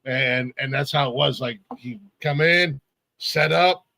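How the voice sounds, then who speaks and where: A man's voice: medium pitch, measured pace, vocal fry, sharp tone, no room sound. A man in his forties hosting a music podcast, chatting casually, stammering and laughing as he rambles. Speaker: podcast host. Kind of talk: casual chat.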